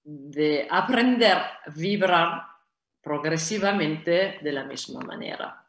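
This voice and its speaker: A woman in her forties, a native Italian speaker with an Italian accent, answering questions slowly and haltingly with many fillers over a video call. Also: deep voice, expressive. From Italy. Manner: slow, halting, many fillers and restarts, gestures with hands. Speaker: guest speaker. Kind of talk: online conference Q&A. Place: indoor room at home. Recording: echoey video call audio.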